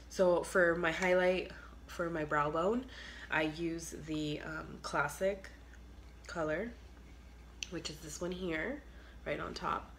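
Young woman, low voice, sick and congested, casual chatty beauty vlogger on a phone, trails into whispers. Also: gravelly, fairly bright tone, a little hiss or hum. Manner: chatty, filler-heavy, trails off to near whispers. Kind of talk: casual makeup tutorial chat. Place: small bedroom at home. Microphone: phone camera at arm's length.

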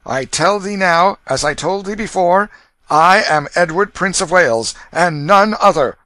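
A man's voice: high-pitched, animated, measured pace, bright, forceful tone, no echo, pitched above the performer's own voice, full character voice. A man voicing a proud young boy prince in a storybook, with lofty, formal archaic speech. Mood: indignant. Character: proud, indignant young boy prince, gentle but commanding. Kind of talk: dramatic storybook reading.

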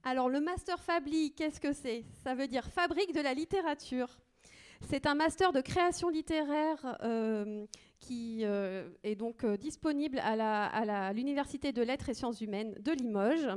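A woman's voice: high voice, moderate pace, smooth. A woman welcoming the audience and thanking partners on stage, with frequent hesitations. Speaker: event organizer. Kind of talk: introductory welcome speech. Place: theater stage.

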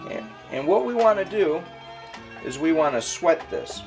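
A man's voice: on the high side, very animated, measured pace, faint music behind. A man hosting a home cooking show, explaining each step casually as he works in his kitchen. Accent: Detroit. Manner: chatty, explains steps as he works.

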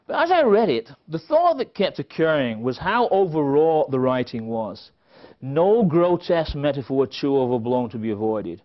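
High-pitched, animated man lecturing. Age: middle-aged. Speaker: conference speaker. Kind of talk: prepared lecture with dry humorous asides. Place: indoor stage at a conference podium. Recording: slightly dull, band-limited event recording.

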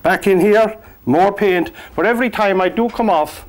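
A man's voice: sits high, moderate pace. An older man with a Cork Irish accent teaching a painting class in a studio, chatty and encouraging, clipped to a lapel mic. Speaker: painting instructor. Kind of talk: on-camera painting lesson. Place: studio with easel and backdrop.

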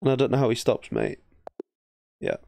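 A man's voice: medium pitch, huge pitch swings, moderate pace, vocal fry, mellow, dry recording. A man with an English accent giving casual, thinking-aloud game commentary as a chess streamer, often muttering half-finished thoughts. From England.

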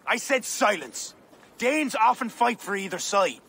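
A man's voice: high-pitched, animated, measured pace, vocal fry, fairly bright tone, slightly rough, heavily acted. An actor with an Irish accent playing a proud, suspicious warrior king, grandiose and imperious, in a game cutscene. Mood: angry, commanding. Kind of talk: dramatic game cutscene dialogue.

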